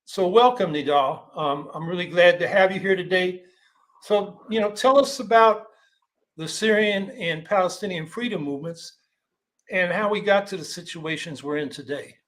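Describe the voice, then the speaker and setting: Higher-voiced man hosting an interview. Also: moderate pace, a bit bright. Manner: reads a prepared introduction, with occasional fillers. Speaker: host. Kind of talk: introducing a guest.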